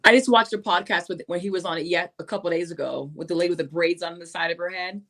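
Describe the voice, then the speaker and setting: Woman with a low, deep voice. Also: steady tone, quick pace, fairly bright tone. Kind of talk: casual podcast chat.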